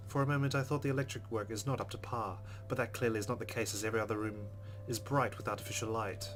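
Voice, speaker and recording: A man hosting a let's play, reading a visual novel aloud with expressive delivery and quick asides. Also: lowish voice, a little hiss or hum. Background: game music.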